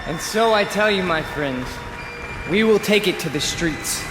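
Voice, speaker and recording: A young rabble-rouser in a radio play, high-pitched and slow, insecure beneath his fervent oratory. His voice rings through a reverberant hall. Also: lively intonation, background noise. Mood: rousing, fervent. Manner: slow, declamatory, pleading. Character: insecure young male demagogue, fervent, wounded, craving followers. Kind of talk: theatrical radio drama oratory.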